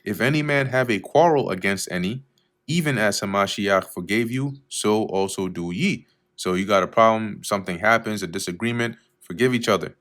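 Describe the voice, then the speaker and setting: A man teaching verse by verse through scripture, reading each verse slowly and then explaining it in a casual way with fillers, often clearing his throat. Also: on the low side, a bit bright. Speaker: Bible teacher.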